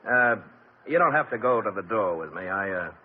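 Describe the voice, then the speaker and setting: A tough middle-aged gangster father with a low, husky voice and blunt street slang. He talks like a man used to buying what he wants. The sound is dull and lo-fi, like an old radio broadcast. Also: lively intonation, brisk. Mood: sardonic. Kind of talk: 1950s radio drama acting.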